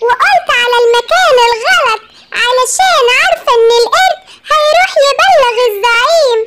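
A performer voicing a small, gossipy, sly cartoon animal, chatting squeakily in Egyptian Arabic. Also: sharp tone, far from the mic, heavily acted. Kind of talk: children's animated animal fable. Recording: very noisy home recording.

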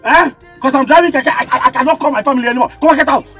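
A man's voice: very high voice, racing, sharp tone, husky, soft background music, heavily acted. A Nigerian man acting a hot-tempered tricycle driver in a screen drama, indignant and repeating himself. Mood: angry.

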